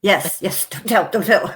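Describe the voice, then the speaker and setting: A woman host gushes with enthusiasm and breaks into laughter often. A cold has left her voice deeper and rougher than usual, though her pitch stays in an ordinary middle range. She talks in quick, eager bursts. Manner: gushing and excitable, laughs often. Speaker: show host. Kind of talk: casual interview chat.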